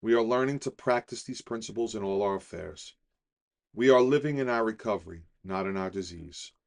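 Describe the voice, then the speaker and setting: Low-voiced man reading calmly. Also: brisk, creaky. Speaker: reader of a daily recovery meditation. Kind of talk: measured scripted reading.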